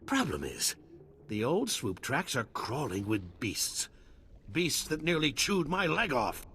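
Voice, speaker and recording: An actor playing a flamboyant, self-amused tech salesman in a video game, pitching theatrically with joking asides and dramatic pauses. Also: huge pitch swings, bright, forceful tone, slightly rough, heavily acted. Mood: sheepish. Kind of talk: video game character acting.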